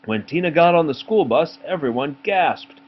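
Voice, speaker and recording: A man reading a children's story aloud in an animated style. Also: on the high side, moderate pace, breathy edge. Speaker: storybook reader. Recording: dull home recording, faint noise.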